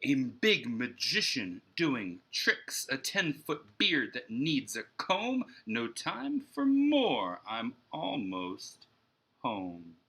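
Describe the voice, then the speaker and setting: Man reading as an excitable storybook boy, emphatic and bright. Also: medium pitch, husky, full character voice. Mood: excited. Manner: emphatic, measured verse delivery. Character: excitable storybook boy telling his tale. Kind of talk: expressive rhyming picture-book read-aloud.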